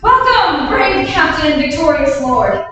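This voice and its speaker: A woman's voice: high-pitched, sing-song, a little slow, a bit bright, full character voice. A woman playing a gracious, peaceable young English king in formal, regal Shakespearean verse at a staged reading. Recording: echoey hall from far off, faint noise.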